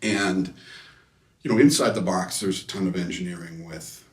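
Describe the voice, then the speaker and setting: A man being interviewed as a designer, explaining technical matters casually and haltingly, often correcting himself. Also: low-pitched, animated. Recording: echoey room, distant microphone.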